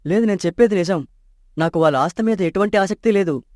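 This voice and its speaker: A man's voice: high-pitched, fast, darkish tone, dry recording, clean studio sound, close to an everyday voice. An actor playing an honest, poor young man in love in a storybook tale, earnest and troubled.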